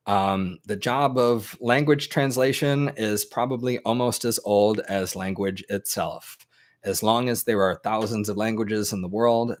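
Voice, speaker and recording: An American man, a native English speaker, hosting an informal advice talk to a live chat, with plenty of ums and restarts. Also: on the low side. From United States. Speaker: host.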